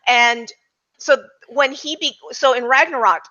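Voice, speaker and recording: A woman on a casual podcast roundtable as a panelist, speaking politely and emphatically but haltingly as she builds her points. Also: high voice, bright, forceful tone. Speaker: podcast panelist discussing a film. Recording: slightly dull lo-fi audio.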